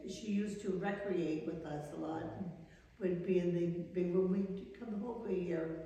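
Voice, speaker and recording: An elderly woman in her eighties with a Maine accent, a religious sister reminiscing in an interview in a halting, rambling way. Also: fairly low pitch, dark tone, lo-fi sound. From Maine, United States. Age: 80s. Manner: halting, rambling recollection with trailing sentences. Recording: echoey reverberant room, distant mic.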